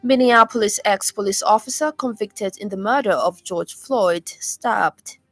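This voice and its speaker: A woman's voice: mid-range voice, measured pace, over music. A woman with a Zambian accent reading scripted news and weather as a radio presenter. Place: radio studio.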